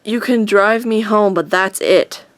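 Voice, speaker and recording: An actress playing a sharp-tongued, imperious, scornful teenage girl in an audio drama, with a snappy, cutting delivery. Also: medium pitch, unhurried, dry recording, lightly acted.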